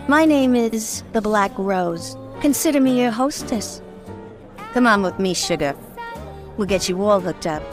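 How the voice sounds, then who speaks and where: An actress playing a sassy, dangerous nightclub hostess in a noir radio drama, flirtatious and self-assured. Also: female voice, medium pitch, close to an everyday voice.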